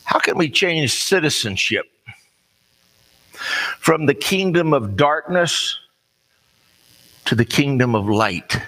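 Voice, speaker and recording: An American man preaching a sermon in a folksy, conversational storytelling way, plain-spoken and pausing deliberately. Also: a little high, expressive, a bit bright. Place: large reverberant room, preaching to a congregation. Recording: long hall reverb.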